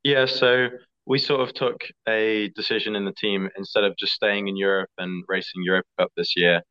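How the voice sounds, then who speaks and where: A young man, an athlete answering interview questions in a relaxed, chatty way. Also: sits low. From England. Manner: relaxed, chatty, fillers like "like" and "sort of". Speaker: skeleton athlete. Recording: slightly dull lo-fi audio.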